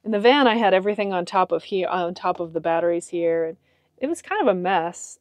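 A woman's voice: on the low side, lively intonation, brisk, no room sound. A woman in her forties casually narrating a do-it-yourself vehicle project, chatty and laughing often, recorded in and around an SUV. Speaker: vlogger. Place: inside an SUV and a carport.

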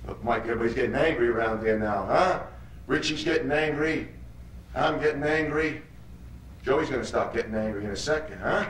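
A man's voice: medium pitch, a little fast, fairly bright tone, close to an everyday voice. Echoey, badgering New street tough played by a man. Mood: menacing. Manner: badgering, repetitive, punctuates lines with "huh?". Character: menacing, mocking male street tough, cocky gang leader. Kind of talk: solo theatrical monologue, street slang. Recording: echoey room, distant mic, noisy.

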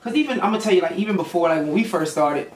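A man's voice: high-pitched, expressive, rapid-fire. A middle-aged man talking casually in a small studio, blunt and preachy with streetwise slang. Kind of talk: casual conversation. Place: small studio room with purple lighting. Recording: roomy, distant with faint hiss.

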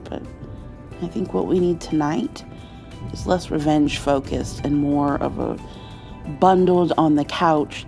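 A woman playing a caring, playful mother in an intimate ASMR roleplay, soothing her grown child with gentle, halting speech and endearments. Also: low voice, vocal fry. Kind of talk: intimate comfort ASMR roleplay, acted as one side of a conversation.

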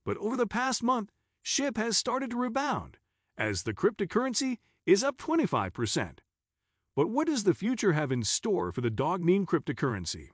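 A man reading a scripted explainer narration in a husky voice. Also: high voice, wildly swooping pitch, normal speed, no echo, studio quality.

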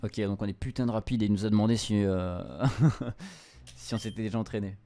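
A man's voice: fairly low pitch, fairly even intonation, fairly quick, warm tone. A young man gives a casual let's-play commentary at a close mic. He reads and translates game text on the fly, informally and with some stumbling. Game music plays behind his voice. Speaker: streamer, let's-play host.

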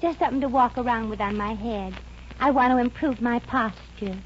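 A woman's voice: on the high side, a little fast, lightly acted. An actress playing a sassy, jealous, wisecracking young American girlfriend in a 1950 radio comedy. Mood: jealous, sarcastic. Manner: sassy, sarcastic. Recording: dull, noisy old radio recording.

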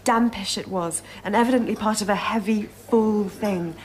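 An actress playing a composed, well-read production researcher in a naturalistic radio drama, matter-of-fact and obliging. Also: female voice, medium pitch, moderate pace, natural voice, low-quality recording. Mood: hushed storytelling. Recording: ambient noise on location.

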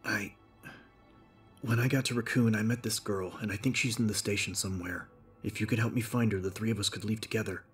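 A man voicing an earnest, resolute young policeman in an audiobook, halting and sincere. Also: lowish voice, steady tone, faint music behind, voice pushed up, close to an everyday voice. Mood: pleading.

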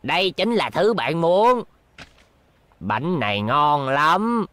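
A man's voice: on the high side, very animated, slow, bright, forceful tone, no echo, heavily acted. A man voicing a chatty, eager souvenir seller in a dubbed space cartoon, talking in salesman patter. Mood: eager selling. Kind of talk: animated series dub acting.

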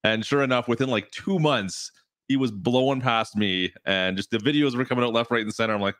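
A man in his thirties co-hosting a casual livestream from a home room, talking through a studio mic on an arm, with quick restarts. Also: mid-range voice, no echo. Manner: quick, animated talk with restarts. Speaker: co-host.

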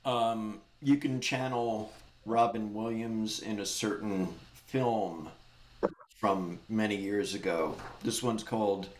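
Older guy hosting a poetry thing on a video call, really slow, roomy echo. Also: fairly low pitch, fairly even intonation. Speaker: host of a poetry gathering. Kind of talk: casual hosting and unhurried poem reading. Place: indoor room at home, on a video call. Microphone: computer mic on a video call. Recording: echoey room, clear noise, clicks.

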